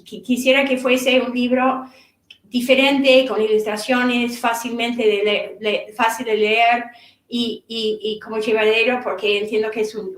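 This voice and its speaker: Halting middle-aged woman in an echoey room. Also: on the high side. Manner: halting, repeats words while searching for them. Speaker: author and course instructor. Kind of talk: seminar talk.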